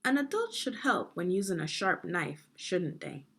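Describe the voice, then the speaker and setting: A woman leads a guided reading lesson for children in a fairly low voice with a slightly husky, creaky edge. She phrases each part deliberately and instructively, pausing to pose questions to young readers. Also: sing-song, a bit bright.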